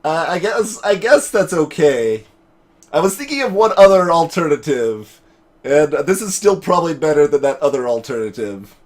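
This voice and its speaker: A man's voice: on the high side, sing-song, moderate pace. A man giving casual gaming commentary as a let's play host.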